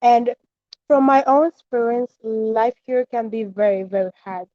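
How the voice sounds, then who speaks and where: A teenage girl from the Dominican Republic speaks earnestly and steadily about her own experience. Her voice sits a little high but sounds warm and mellow. The sound is dull and lo-fi, like an online call. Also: measured pace, dry recording. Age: teens. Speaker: student. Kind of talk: class discussion answer. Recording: muffled lo-fi online call audio.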